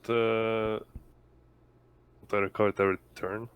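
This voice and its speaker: A man's voice: fairly low pitch, little pitch movement, normal speed, smooth. A man taking part in a tabletop game as a player, chatting casually.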